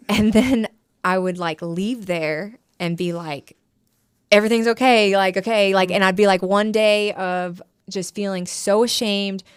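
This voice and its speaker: A woman's voice: medium pitch, unhurried, clean tone, no room sound, clean studio sound. A woman in her thirties telling her story frankly as a podcast guest, rambling with lots of "like". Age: 30s. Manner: frank, rambling, heavy use of filler "like". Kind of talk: candid personal storytelling.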